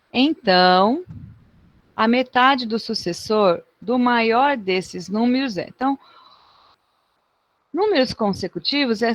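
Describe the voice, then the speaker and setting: A woman's voice: fairly high pitch, dry recording. A woman teaching a math lesson in a chatty way, often checking in with her students.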